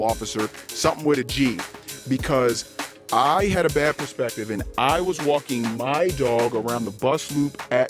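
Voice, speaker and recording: A middle-aged man hosting a podcast, delivering an unscripted personal rant with emphatic, repetitive phrasing and swearing. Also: mid-range voice. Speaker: podcast host. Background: music.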